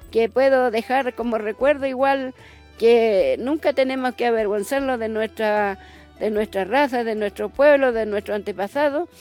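Slow-speaking Chilean woman. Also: on the high side, a little mellow. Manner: halting, searching for words. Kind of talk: spoken testimony.

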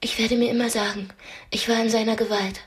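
An actress in a radio play as a brave, sharp-witted young captive, speaking directly and persuasively. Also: female voice, fairly high pitch, little pitch movement, fairly quick, close to an everyday voice. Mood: pleading, coaxing. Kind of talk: radio drama acting.

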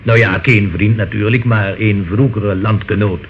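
An actor playing an evasive, nervous Italian market-stall landlord and informant in a radio play, speaking hesitantly. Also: male voice, fairly low pitch, fairly even intonation, moderate pace, close to an everyday voice. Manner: hesitant, hedging. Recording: muffled, noisy old radio recording.

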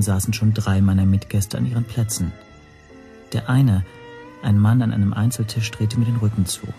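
An actor playing an observant, nervous hotel guest who narrates his own story in a radio drama, measured and precise. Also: fairly low pitch, natural voice. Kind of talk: scripted first-person radio drama narration.

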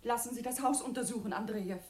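An actress playing an anxious, genteel governor's wife in a radio drama, urgent and formal. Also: female voice, on the high side, fast talker, darkish tone, natural voice. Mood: alarmed, urgent. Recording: roomy, noisy old radio recording.

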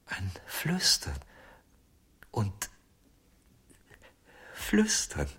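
An actor playing a haunted, obsessive ventriloquist in a German radio crime drama, husky and often near a whisper, speaking slowly and haltingly. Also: male voice, lowish voice, wildly swooping pitch, heavily acted. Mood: hushed, entranced. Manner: slow, halting, hushed storytelling. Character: haunted, obsessive male ventriloquist confessing, tender and weary.

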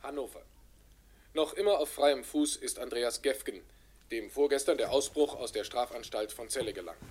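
Actor as a formal mid-pitched male news announcer. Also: a little mellow, husky, lightly acted. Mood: matter-of-fact. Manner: formal, measured, factual. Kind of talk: scripted news reading in a radio drama.

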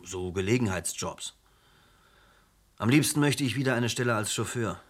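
Defensive young chauffeur played by a man, medium-pitched. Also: normal speed, lightly acted. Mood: defensive, earnest. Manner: plain-spoken, defensive. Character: defensive young unemployed chauffeur, male, early twenties. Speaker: witness being questioned. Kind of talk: German radio play acting.

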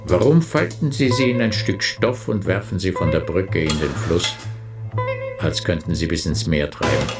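A man's voice: low-pitched, over music. An actor playing a brooding man who questions and accuses insistently, in a poetic German radio play. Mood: accusing. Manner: literary, deliberate, insistent questioning.

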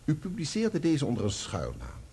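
An actor in a radio play voicing a probing, sardonic barrister, formal and full of pointed rhetorical questions. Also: male voice, fairly high pitch, wildly swooping pitch, normal speed, warm tone, husky, heavily acted. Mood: pointed, needling. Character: probing, sardonic male barrister cross-examining a witness. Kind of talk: courtroom radio play acting.

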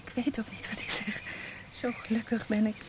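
A woman's voice: on the high side, level tone, moderate pace, lightly acted. Actress as an affectionate, teasing young woman, noisy recording. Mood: intimate, loving. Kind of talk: Dutch radio play acting. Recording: noisy old radio play recording.

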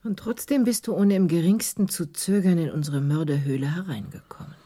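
A woman playing an open, cheerful wife and hostess of about forty in a radio drama, chiding in a familiar way with a soft husky voice. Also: fairly low pitch, a little fast. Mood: teasing. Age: 40s. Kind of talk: conversational radio drama acting.

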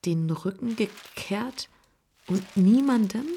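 Eine Schauspielerin spielt in einem Hörspiel eine ernste, bescheidene junge Frau, die sorgfältig und förmlich spricht. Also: female voice, medium pitch, lively intonation, slow, mellow, husky, full character voice. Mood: reading aloud. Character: earnest, dutiful young woman, modest and anxious. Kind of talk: radio drama acting.

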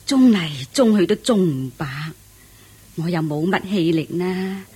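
Radio play: a worn-out old village granny, humble and plaintive, low and very unhurried. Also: female voice, animated, mellow, slightly rough, heavily acted. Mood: humble, polite. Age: older. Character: poor, weary old peasant grandmother, widowed. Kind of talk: radio drama acting.

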